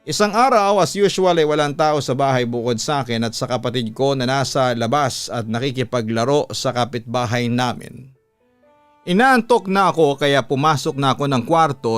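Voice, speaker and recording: A Filipino man with a clear, resonant voice reads a horror story like a radio host. His delivery is measured and deliberate, stretching words for weight. The sound is close and dry, with faint music underneath. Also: medium pitch, fairly bright tone, dry recording. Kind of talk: scripted horror-story narration of a listener's letter. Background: background music.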